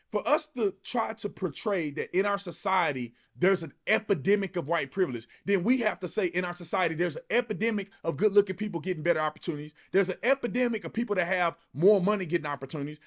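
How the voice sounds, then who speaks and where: A man's voice: high-pitched, lively intonation, fairly quick. A man giving an impassioned, colloquial opinion monologue, emphatic and repetitive, often throwing out rhetorical questions. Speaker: political commentator.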